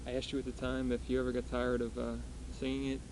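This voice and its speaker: A man interviewing a guest, asking his questions in a hesitant, halting way with repeated words. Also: medium pitch, completely flat delivery. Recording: noisy background ambience.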